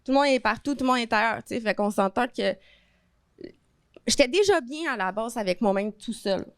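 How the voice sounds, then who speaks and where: A woman's voice: a little high, brisk, clear, resonant tone, dry recording, studio quality. A woman in her thirties with a Québécois French accent, a podcast guest talking candidly and expressively in a casual chat. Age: 30s. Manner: candid, expressive, colloquial storytelling.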